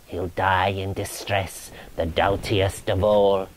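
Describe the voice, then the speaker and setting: A man's voice: sits low, flat delivery, slow pace, a little hiss or hum, rough recording. A man voicing a grisly, woeful ghost who solemnly prophesies doom in a dramatic reading of medieval romance verse. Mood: doom-laden, woeful. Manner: solemn, incantatory, moaning, preaching.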